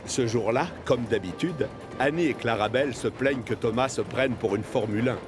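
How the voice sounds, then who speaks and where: A man's voice: mid-range voice, normal speed. A man narrates a children's cartoon in a scripted storytelling style, with a mid-range voice. Music plays behind him.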